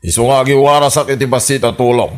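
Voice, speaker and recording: An actor with an Ilocano accent playing a calculating village uncle and would-be politician in a radio comedy drama, speaking matter-of-factly. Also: male voice, mid-range voice, fast, natural voice. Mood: scheming.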